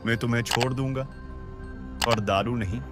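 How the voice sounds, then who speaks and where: A man's voice: mid-range voice, fairly even intonation, moderate pace, natural voice. A man voicing a boastful, careless village drunkard in a Hindi cartoon story, with theatrical, exclamatory delivery. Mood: dismissive. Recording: music bed behind the voice.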